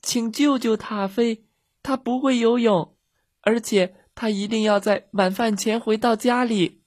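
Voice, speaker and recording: A storyteller voicing an anxious, excitable little girl in a children's story. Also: medium pitch, slow pace, no room sound, studio quality, voice pushed up. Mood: urgent pleading. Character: two anxious, excitable little girls out dog-walking.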